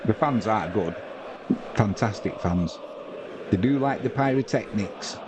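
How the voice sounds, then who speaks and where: A lower-voiced English man calls a football match live, mixing play-by-play with easygoing chat aimed at his viewers. Clear background noise sits under his voice throughout the stream. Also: measured pace, a crowd in the background. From England. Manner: conversational play-by-play, reading out viewer comments. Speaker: watch-along commentator.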